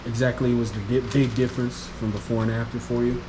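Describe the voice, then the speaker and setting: A man's voice: medium pitch, level tone, quick pace, noisy background. Easygoing man hosting an interview. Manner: easygoing, brief affirmations. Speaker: interview host. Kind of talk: casual interview questions.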